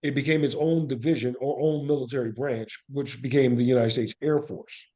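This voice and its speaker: A man's voice: mid-range voice, fairly even intonation, brisk, warm tone. A man speaks as a guest in a conversational interview, with a mid-range voice. He explains things earnestly and reflectively, repeating phrases to drive his points home. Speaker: interview guest, actor and playwright.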